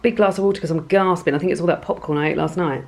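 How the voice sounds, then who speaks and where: A woman's voice: lowish voice, fairly even intonation, racing, darkish tone. A woman chatting casually in a home vlog diary about her plans and reading, rambling and correcting herself as she goes. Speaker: book vlogger.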